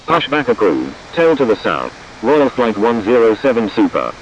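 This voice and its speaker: A man's voice: mid-range voice, big pitch swings, normal speed, muffled recording, slight background noise. A man speaking as a pilot, giving procedural, formulaic radio readbacks. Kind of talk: scripted air traffic radio readbacks.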